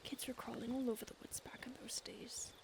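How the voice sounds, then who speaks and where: A woman's voice: fairly high pitch, little pitch movement, a little fast, vocal fry. A woman voicing an ancient, possessive female vampire stalker in an audio roleplay, mostly whispering close to the listener. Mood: menacing whisper.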